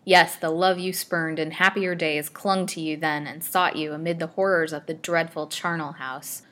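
A woman's voice: low voice, fairly even intonation, normal speed, fairly bright tone. A woman voicing a possessive, ardent male suitor in a storybook, with florid and melodramatic declarations. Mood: pleading, ardent.

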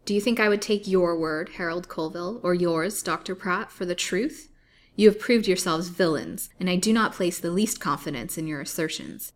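A woman's voice: fairly low pitch, fairly even intonation, a little fast, few pauses, bright, forceful tone. A woman reading the lines of a proud, defiant young woman held captive, speaking firmly and indignantly. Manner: firm, indignant.

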